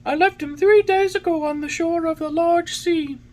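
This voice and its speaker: Woman as high-voiced helpful storybook pigeon. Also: normal speed, a little mellow, rough recording. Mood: informative, earnest. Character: large male talking pigeon, helpful and matter-of-fact.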